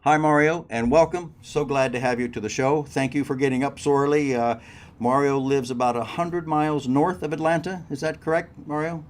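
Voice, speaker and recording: A man's voice: fairly high pitch, fairly even intonation, normal speed. A man hosting a cruise news show and interviewing a guest, talking in a chatty way straight to his listeners. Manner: chatty, addresses crew members directly. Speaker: host.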